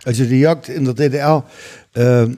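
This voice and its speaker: A man's voice: mid-range voice, steady tone, moderate pace, warm tone. An older man, a hunting shop owner, answering interview questions in a matter-of-fact, self-assured way.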